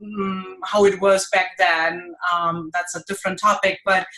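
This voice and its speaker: A woman's voice: lowish voice, level tone, unhurried, sharp tone, low-quality recording. A woman in her forties from Germany with a German accent, chatting as a podcast guest, laughing often and a little nervous. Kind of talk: casual interview chat.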